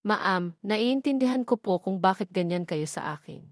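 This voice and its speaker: A woman's voice: mid-range voice, animated, moderate pace, no room sound, close to an everyday voice. A woman narrator acts out a young suitor in an audio love drama. He is humble, earnest and respectful as he pleads, in a close, dry recording. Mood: humble, pleading.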